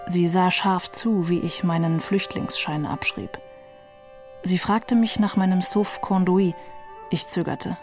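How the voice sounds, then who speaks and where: A woman's voice: fairly low pitch, steady tone, slow pace, a little mellow, faint music behind. A woman reading a literary radio feature as a young male refugee narrator, proud, wry and detached. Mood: detached, dry. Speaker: reader of a literary novel.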